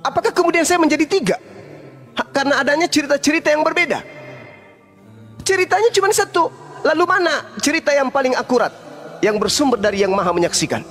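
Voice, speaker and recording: Male preacher, high voice, combative debater firing rhetorical questions, faint music underneath. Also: expressive, quick pace, pauses often. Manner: forceful, rhetorical questions, swings from low to loud emphasis. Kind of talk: heated religious debate.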